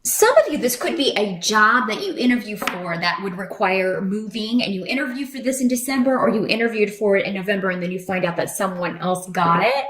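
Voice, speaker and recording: A woman's voice: medium pitch, a little fast, hardly any pauses, a bit bright, a little hiss or hum. A woman giving an unscripted tarot reading to viewers in a conversational, rambling, reassuring way. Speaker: tarot reader and channel host. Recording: roomy echo.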